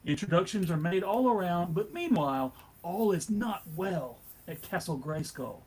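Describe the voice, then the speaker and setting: Guy narrating a comic out loud, raspy highish voice, super lively and dramatic. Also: moderate pace, low-quality recording. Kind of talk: dramatic scripted comic book narration at a live table read.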